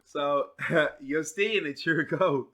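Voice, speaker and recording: A man running a tabletop game as game master, narrating scenes slowly and deliberately. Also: on the high side, sharp tone.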